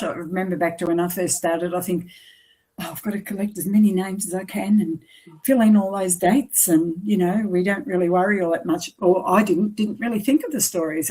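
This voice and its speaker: Husky-voiced woman host, affirming. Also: fairly low pitch, poor audio. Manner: warm affirming, frequent yeahs. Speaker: podcast host. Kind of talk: casual interview chat.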